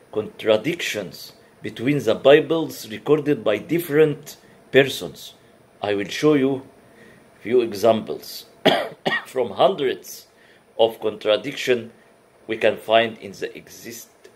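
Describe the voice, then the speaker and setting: A man whose first language is Arabic gives a religious lecture in careful, non-native English, pausing often with fillers and restarting words. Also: on the low side, husky. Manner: halting, many uh fillers and restarts. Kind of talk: comparative religion talk.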